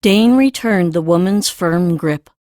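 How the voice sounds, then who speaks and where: A woman's voice: lowish voice, measured pace, a little mellow, no room sound, studio quality. A woman reading scripted story narration for an audiobook.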